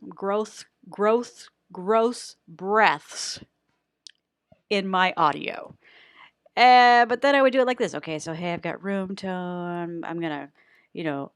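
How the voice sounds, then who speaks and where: A woman giving a casual tutorial in a chatty, playfully self-deprecating manner, recorded close in a quiet room. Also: on the low side, clean tone, no room sound. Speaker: voice actor giving an audio editing tutorial.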